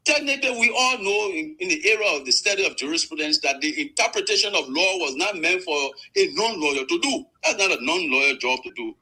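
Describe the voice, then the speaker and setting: A man's voice: high voice, sharp tone, rough recording. A man, a lawyer making a formal legal argument on a panel show. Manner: measured, formal courtroom phrasing.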